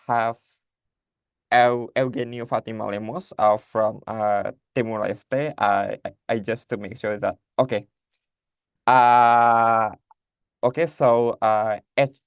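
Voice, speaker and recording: A man from Indonesia moderates an online conference over a video call. He talks haltingly, full of fillers and restarts. His voice is smooth and resonant, and it sounds dry and close. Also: medium pitch, no room sound.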